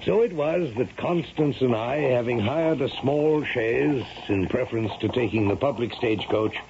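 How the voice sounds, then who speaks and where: An actor playing a formal, easily exasperated American gentleman and father from the Victorian era in a radio drama. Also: mid-range voice, normal speed, lightly acted. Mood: reflective. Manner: formal, theatrical. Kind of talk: 1970s radio drama acting. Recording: noisy, dull vintage radio broadcast.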